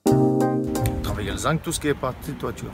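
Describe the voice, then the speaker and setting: Man, roofer, plain-spoken, halting, laughs easily, rooftops outdoors, loud music behind. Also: medium pitch. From France. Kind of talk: casual interview.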